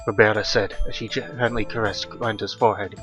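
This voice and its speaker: A man's voice: on the low side, little pitch movement, brisk. A man narrating a horror audiobook in a dramatic, scripted style. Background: faint music.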